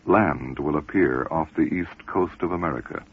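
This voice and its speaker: On a tinny old radio recording, a man plays a solemn trance prophet. The voice is extremely deep and creaky, with formal, portentous phrasing. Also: moderate pace, full character voice. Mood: solemn, prophetic. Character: solemn male psychic reading prophecies in trance. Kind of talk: dramatized reading of prophecy.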